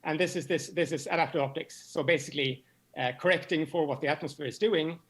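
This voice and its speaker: A man explaining science as an interview guest, halting and often repeating words as he goes. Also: on the high side, a bit bright. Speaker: astronomy professor, interview guest.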